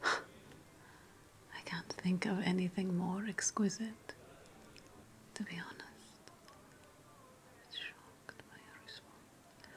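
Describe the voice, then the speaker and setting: A woman's voice: fairly low pitch, steady tone, very slow, long pauses. A woman voicing a haughty, playful ancient Roman noblewoman in a whispered ASMR roleplay, teasing and savoring her words, often repeating phrases. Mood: haughty, amused.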